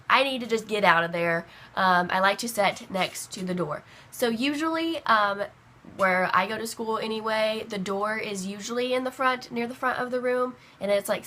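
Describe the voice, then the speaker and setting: Bright-voiced young woman with a cold. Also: mid-range voice, slight background noise. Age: young adult. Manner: chatty, rambling, many fillers. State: sick with a bad cold, voice rough. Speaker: vlogger. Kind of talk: casual talk to camera.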